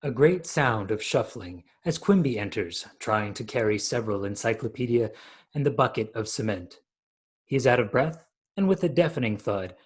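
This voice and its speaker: Husky man reading stage directions. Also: on the low side. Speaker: narrator. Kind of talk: scripted narration of stage directions. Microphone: video call.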